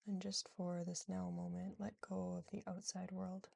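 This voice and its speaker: A woman leads a guided relaxation in a low-leaning, soothing voice with a slight fry. She moves gently and without hurry from phrase to phrase, leaving space between them. The sound is close and dry. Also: little pitch movement, no room sound. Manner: soothing, gentle, unhurried with long pauses. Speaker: yoga nidra guide.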